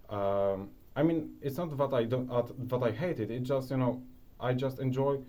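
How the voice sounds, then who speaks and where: Polish-accented young man, mellow voice. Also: mid-range voice. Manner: rambling, restarts often, many fillers. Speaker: video creator. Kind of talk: casual talking-head advice.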